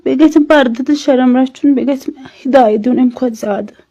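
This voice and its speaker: Female, Kashmiri accent, lo-fi phone recording, high mellow voice, pleading personal appeal with repeated requests. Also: normal speed.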